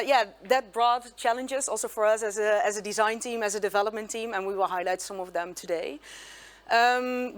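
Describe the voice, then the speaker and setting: A woman opens a design meetup in English. She is friendly and hesitant, filling gaps with "um" as she walks the audience through the agenda. Her voice sits at an ordinary pitch. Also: smooth. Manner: friendly, hesitant with many ums. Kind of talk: hosting a meetup, introducing speakers. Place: meetup venue, hybrid event.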